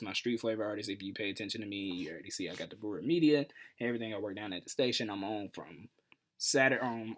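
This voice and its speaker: A man in his twenties with an American accent, hosting a casual storytelling vlog in an animated, playful, slangy way. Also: mid-range voice. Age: 20s. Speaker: YouTube host.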